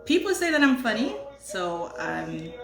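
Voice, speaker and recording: A woman's voice: medium pitch, very animated, measured pace, vocal fry, reverberant, crowd noise. A young adult woman from Haiti, raised in Florida, chatting casually and laughing at herself. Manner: talkative, self-deprecating, laughing. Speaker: co-host. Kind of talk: casual chat.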